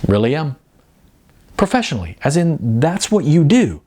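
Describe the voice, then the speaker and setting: A man's voice: a little high, sing-song, moderate pace, warm tone, voice pushed up. A man voicing a good-natured, self-deprecating model in his forties, hesitant and full of ums, in an audiobook. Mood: easygoing. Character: modest, good-natured balding 40-something male commercial model. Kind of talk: audiobook character dialogue.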